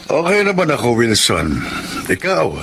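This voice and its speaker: An actor playing a kindly, plain-spoken older uncle in a Filipino radio drama. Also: male voice, medium pitch, huge pitch swings, measured pace, gravelly, fairly bright tone, a little hiss or hum, exaggerated voice. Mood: friendly, candid.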